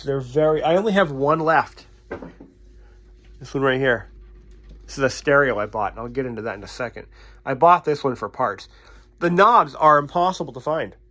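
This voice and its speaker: A man's voice: mid-range voice, fairly bright tone. A man talking casually as a repair technician hosting a vlog, explaining things in an informal, blunt, self-deprecating way. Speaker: radio repair technician and channel host.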